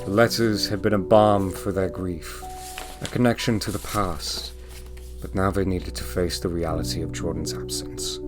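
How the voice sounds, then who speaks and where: A man's voice: low-pitched, fairly even intonation, moderate pace, music in the background, lightly acted. A man narrating an audio drama as a solemn storyteller, with scripted delivery. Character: solemn storyteller of a bereavement tale.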